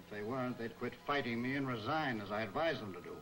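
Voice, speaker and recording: A soft-spoken male criminal mastermind played by an actor in an old 1940s film serial. He talks formally and with measured, sardonic menace, with music clearly behind him. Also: mid-range voice, lightly acted, low-quality recording. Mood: sardonic, calm.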